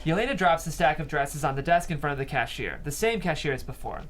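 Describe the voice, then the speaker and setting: Guy reading stage directions at a table read, highish voice that moves around a lot. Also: lively intonation, fast talker, fairly bright tone, slight background noise. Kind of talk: scripted narration at a table read.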